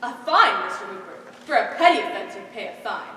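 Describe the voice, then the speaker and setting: A woman's voice: mid-range voice, animated, fast talker, bright, forceful tone. A teenage woman playing a stern, humorless magistrate in a school stage play, declaiming with authority. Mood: officious. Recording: echoey school stage hall, distant mic, faint noise.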